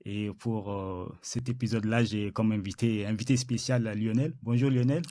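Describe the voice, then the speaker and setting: A man's voice: on the low side, fairly even intonation, moderate pace, warm tone, dry recording, studio quality. A man hosting an interview podcast, speaking hesitantly with many filler sounds and restarts. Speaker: podcast host.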